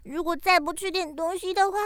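A very high, bright little piglet girl for a children's radio play. She speaks slowly and sweetly, whining and pleading with naive hunger. The sound is close and dry. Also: fairly even intonation, no room sound. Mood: timid pleading. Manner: slow, whiny, pleading, childlike. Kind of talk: children's radio drama acting.

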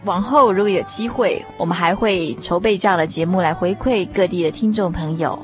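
A woman's voice: lowish voice, a little fast. A woman with a Taiwanese Mandarin accent hosting a radio program, talking courteously and directly to her listeners and spelling things out for them. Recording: music bed under studio voice. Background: background music.

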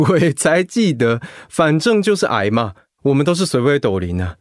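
An actor playing a blunt, sociable middle-aged ex-marine patient in a Mandarin radio drama. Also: male voice, fairly high pitch, big pitch swings, moderate pace, no echo, studio quality. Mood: wry, rueful.

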